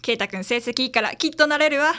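A woman's voice: high-pitched, quick pace, bright, forceful tone, clean tone. A woman playing a kindly, encouraging mother who delivers lunch boxes, in a radio drama. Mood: friendly. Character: friendly mother working as bento delivery woman. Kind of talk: audio drama acting.

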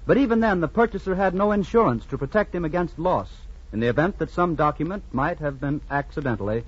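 A man giving a formal, measured scripted announcement in 1940s radio style. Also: a little high, expressive, breathy edge. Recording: muffled 1940s radio recording.

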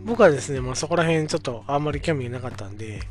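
A man's voice: medium pitch, expressive, very fast, faint music behind. A man in his forties telling a childhood story on his own podcast, speaking politely and unhurriedly, with many filler sounds. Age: 40s. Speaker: podcast host. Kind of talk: casual storytelling monologue.

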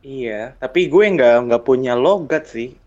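A man's voice: mid-range voice, a little slow, a little mellow, muffled recording. A man with a Jakarta Indonesian accent chatting casually in a slangy, bantering way on a podcast.

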